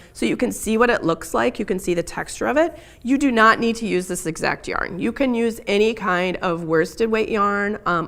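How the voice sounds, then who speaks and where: A middle-aged woman, hoarse and raspy after being ill, teaching a live crochet tutorial in a chatty way with frequent ums. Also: fairly low pitch, fairly bright tone. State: hoarse from illness. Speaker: crochet instructor.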